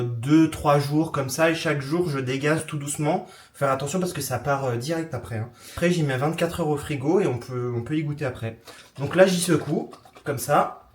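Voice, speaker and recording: Chatty young man vlogging. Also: fairly high pitch. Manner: chatty, rambling, many fillers and restarts. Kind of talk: casual vlog chat to camera.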